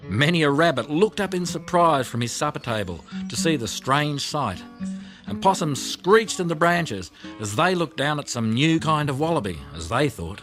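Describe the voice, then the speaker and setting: A man reading a children's story audiobook as narrator, with expressive storytelling delivery. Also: a little high, moderate pace. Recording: music behind the voice.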